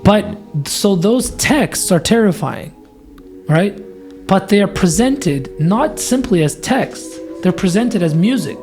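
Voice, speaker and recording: A man with a fairly high, scratchy voice speaks as a guest deacon. He builds his points patiently, repeating phrases for weight, while faint music plays behind him. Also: lively intonation, slow pace, a little mellow. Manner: earnest, builds ideas with repetition. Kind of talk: thoughtful conversational explanation.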